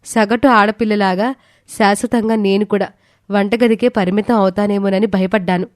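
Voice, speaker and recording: Grateful, gentle young daughter-in-law voiced by a woman. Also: medium pitch, steady tone, normal speed, no room sound, studio quality, lightly acted. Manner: polite, heartfelt.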